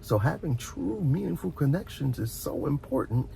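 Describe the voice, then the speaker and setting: A middle-aged man talks through heartfelt advice in a mellow, fry-edged voice. He is reflective and earnest, often stopping to repeat a word before carrying on. A faint low rumble of noise sits behind him. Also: very animated, moderate pace, rough recording. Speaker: host of a relaxation and advice channel. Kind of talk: informal heartfelt advice monologue.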